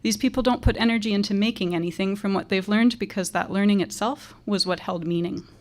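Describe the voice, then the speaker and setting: A woman teacher with a quiet voice giving a measured, earnest graduation tribute to a student. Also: medium pitch.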